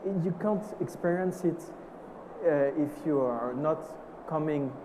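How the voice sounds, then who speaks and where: A man with a French accent speaking on a conference panel, halting and searching for his words in English. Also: a little high, background noise. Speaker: perfumer. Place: conference stage.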